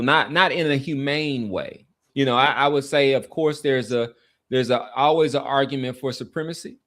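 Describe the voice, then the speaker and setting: A man with a mid-range voice talks as a podcast host in casual conversation. He is reflective and wanders through his thoughts, often halting as he searches for the next phrase. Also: medium pitch, a bit bright. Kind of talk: casual sports and society chat.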